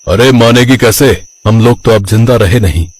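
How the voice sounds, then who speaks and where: A man playing a scolding father who appears in a dream in a children's cartoon story, with a husky voice. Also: mid-range voice, moderate pace, no room sound, lightly acted. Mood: scornful.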